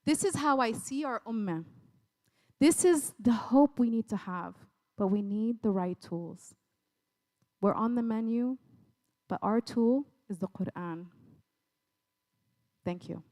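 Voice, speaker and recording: A woman guest speaker closing her talk with slow, emphatic words. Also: fairly high pitch, a little mellow.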